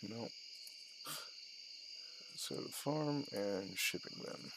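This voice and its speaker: Man, casual game streamer, slow-paced, swinging pitch, thinking aloud in fragments, heavy noise with clicks behind. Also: animated, creaky. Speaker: gaming video host. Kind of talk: casual game playthrough commentary. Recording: loud background noise with clicks.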